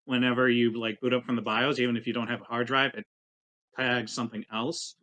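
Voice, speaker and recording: A man's voice: medium pitch, little pitch movement, fairly quick, sharp tone. A man chatting casually during a tabletop roleplaying game.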